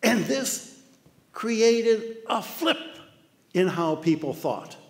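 Older American man, fairly high voice, lively lecturer in a big echoing hall. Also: very slow, creaky. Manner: animated storytelling with mock voices. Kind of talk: illustrated talk. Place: dark lecture hall with projector screen. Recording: long hall reverb.

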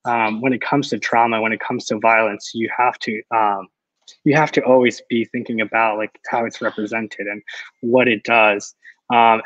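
A man's voice: mid-range voice, dry recording. A young man moderating a book discussion on a video call, appreciative, with frequent fillers. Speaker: interviewer, event moderator. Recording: dull video call audio.

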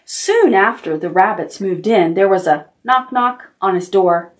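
A woman's voice: medium pitch, unhurried, roomy sound, far from the mic. A middle-aged woman hosting a preschool storytime, speaking in simple, encouraging phrases and repeating herself for young listeners. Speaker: library storytime host for preschoolers. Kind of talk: children's storytime, instruction and read-aloud. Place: indoor room decorated with stuffed toys.

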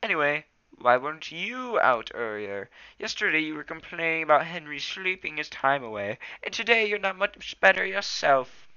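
A man voicing an excitable, easygoing young male house cat in a storybook, with an eager, shrill and dramatic manner. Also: moderate pace, smooth, no echo, lo-fi sound, heavily acted. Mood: teasing.

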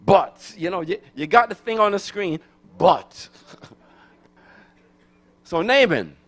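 A man's voice: sits high, animated, slow, fairly bright tone. A middle-aged man from Brooklyn, New York, preaching a sermon into a handheld microphone in a church, repeating phrases and calling for answers from the congregation. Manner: call-and-response preaching, repeats phrases, rising to emphatic. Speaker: guest preacher.

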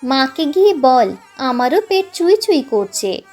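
Woman as twelve-year-old elder brother, high pitch, bossy, impatient, storybook, music behind. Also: very animated, slow pace, a little mellow, heavily acted. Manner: commanding, impatient with younger brother.

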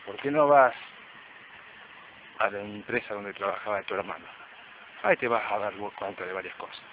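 A man's voice: on the low side, normal speed, natural voice. Guy doing mysterious hooded man, guarded, radio play, dull noisy recording. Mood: grave, secretive. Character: mysterious hooded male informant, guarded and grave. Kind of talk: amateur detective radio play acting. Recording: muffled, noisy amateur recording.

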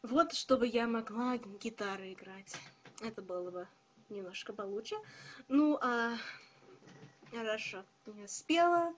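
A young woman who is not a native speaker, talking to her viewers in a careful, hesitant way with many pauses. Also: on the high side, a little hiss or hum. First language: not Russian. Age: young adult. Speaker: vlogger. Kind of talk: casual video address to viewers.

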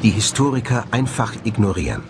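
A man's voice: fairly low pitch, big pitch swings, a little fast, creaky, very noisy. A man delivering scripted documentary voice-over narration. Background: background music.

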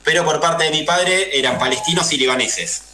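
A man's voice: a little high, moderate pace, roomy sound. A man in his thirties from Argentina with a Buenos Aires accent, answering radio interview questions earnestly and with many fillers. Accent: Buenos Aires Spanish. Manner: earnest, explanatory, lots of fillers. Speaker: guest.

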